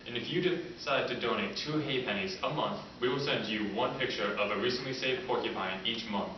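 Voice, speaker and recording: A man's voice: mid-range voice, a little fast. A man delivering a scripted charity appeal as the spokesman in a mock advert. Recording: echoey, muffled, noisy, distant mic.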